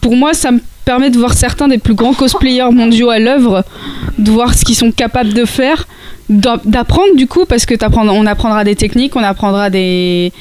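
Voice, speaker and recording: A woman's voice: on the high side, moderate pace, darkish tone, slight background noise. A woman giving a casual podcast segment on cosplay, talking with many hesitations and restarts.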